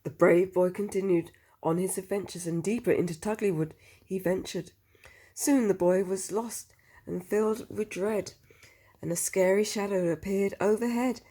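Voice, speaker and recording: A woman with a husky voice reading a picture book aloud to a child, expressively, with chatty questioning asides. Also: mid-range voice, steady tone, normal speed, mellow.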